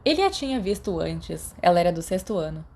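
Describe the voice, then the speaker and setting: A woman's voice: fairly low pitch, very animated, brisk, darkish tone. A woman with a Brazilian accent reading scripted fiction as an audiobook narrator. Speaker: podfic narrator. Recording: noticeable background noise.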